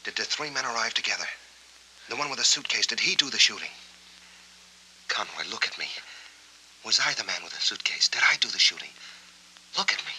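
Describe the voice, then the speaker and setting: A man's voice: fairly low pitch, animated, a little fast, pauses often, bright, forceful tone. An actor playing a troubled, insistent man seeking answers in a 1940s film noir, talking in terse, urgent questions. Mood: urgent, pressing. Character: tense, insistent amnesiac veteran hunting answers, noir hero. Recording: noisy, humming 1940s film soundtrack.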